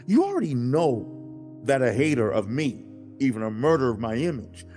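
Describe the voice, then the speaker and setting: A man performs God as a stern yet loving father, with music underneath. His pitch moves expressively as he preaches in an earnest, deliberate way. Dramatic pauses and firm emphasis give it the feel of a dramatized religious audiobook. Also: darkish tone. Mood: grave, warning. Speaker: audiobook narrator.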